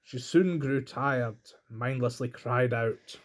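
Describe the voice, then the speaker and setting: A man's voice: medium pitch, slow pace. A man reading a folk tale aloud as storyteller, in scripted narration.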